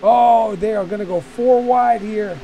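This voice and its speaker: Slightly hoarse man calling a race. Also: sits high, measured pace, slight background noise, rough recording. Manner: excited play-by-play with frequent fillers. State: losing his voice after being sick. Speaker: race commentator. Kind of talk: sim racing commentary.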